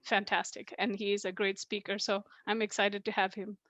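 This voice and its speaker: A woman's voice: medium pitch, little pitch movement, normal speed, a bit bright, no room sound. Friendly middle-aged woman from Nepal. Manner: friendly, laughs easily. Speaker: guest introducer.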